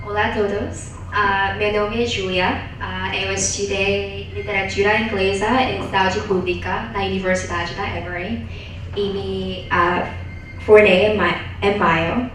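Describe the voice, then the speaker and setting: A woman's voice: mid-range voice, level tone, measured pace, a bit bright, soft background music. A young woman, a student researcher, gives a prepared research presentation. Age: young adult. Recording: very echoey room, distant mic, faint noise.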